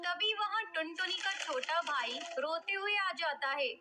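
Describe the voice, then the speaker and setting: A woman's voice: very high voice, lively intonation, unhurried, noisy background. A woman narrating a cartoon story in plain storytelling style.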